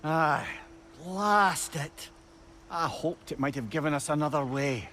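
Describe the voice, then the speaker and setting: An actor playing a talkative, clever old companion in a video game, with an inquisitive, excitable manner. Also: male voice, on the high side, unhurried, a little hiss or hum. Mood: curious, excitable. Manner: chatty, inquisitive, animated. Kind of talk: dramatic video game acting.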